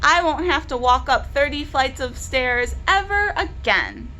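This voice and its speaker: A mean, gloating woman schoolteacher from a children's storybook, voiced by a woman reader. Her voice is high and bright, swooping widely as she crows and threatens. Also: sing-song, a little slow, full character voice, rough recording. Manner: shouting threats and crowing triumphantly. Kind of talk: children's storybook read-aloud.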